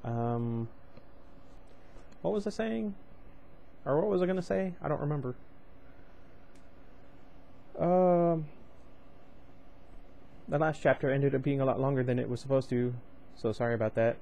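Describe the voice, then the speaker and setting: A young man reading a novel aloud, casual and unpolished. He rambles, drops in chatty asides and stops to find his place again. His tone is mellow and on the dark side. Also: medium pitch, a little slow, gravelly, long pauses, a little hiss or hum. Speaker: book reader, channel host.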